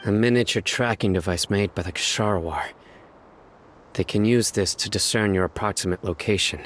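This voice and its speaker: An actor playing a stern young male desert guardian in a video game, speaking in a calm, serious, clipped way. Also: on the low side, normal speed, slight background noise, lightly acted. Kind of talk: game cutscene dialogue.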